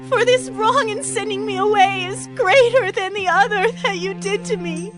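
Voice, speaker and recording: A woman's voice: very high-pitched, moderate pace, over music. A woman playing a desperate, pleading young princess in a dramatized audio Bible.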